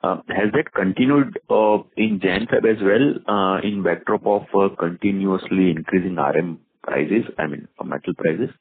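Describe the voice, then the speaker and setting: Deep, husky Indian man on the phone. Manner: hesitant, pieced-together questions with frequent filled pauses. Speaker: investment analyst caller. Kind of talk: asking questions on an earnings call. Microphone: telephone. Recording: dry, narrow phone line.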